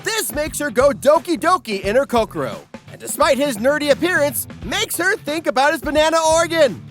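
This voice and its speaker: A man's voice: extremely high pitch, sing-song, a little fast, a bit bright. A male narrator delivers a comedic scripted recap with big swoops in intonation. He is wry and exaggerated in a mock-dramatic way, over a music bed. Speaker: narrator of an anime parody recap. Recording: music behind the voice.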